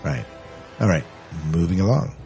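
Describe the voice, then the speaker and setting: A man's voice: sits low, big pitch swings, moderate pace, gravelly, dark tone, faint music behind. A man moderating a casual podcast conversation. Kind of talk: casual chat.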